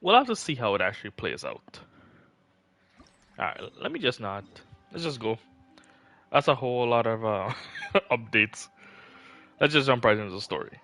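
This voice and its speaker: Bright-voiced man, dry close mic. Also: mid-range voice, big pitch swings, moderate pace, long pauses, no room sound. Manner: rambling, informal. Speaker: game livestreamer. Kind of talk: casual livestream chat about game builds.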